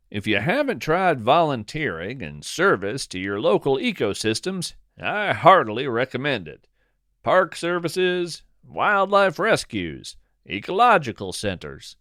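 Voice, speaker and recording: A man narrating a scripted first-person story as a podcast host, in a whimsical, deliberate and dryly playful manner. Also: on the high side, a bit bright, no echo, studio quality.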